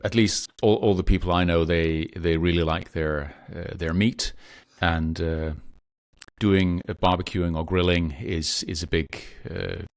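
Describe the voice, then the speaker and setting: A man, a podcast guest, who talks in a halting way with many restarts. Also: deep voice, fairly even intonation, no room sound. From Denmark. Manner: hesitant, many restarts and fillers. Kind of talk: conversational interview.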